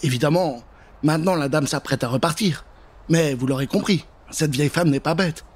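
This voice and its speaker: A man in his thirties telling a children's tale in an expressive, theatrical style, close to the microphone. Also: on the high side, moderate pace, breathy edge. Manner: expressive, dramatic. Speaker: storyteller. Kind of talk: theatrical scripted storytelling for children. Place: indoor recording setup. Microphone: close microphone with pop filter.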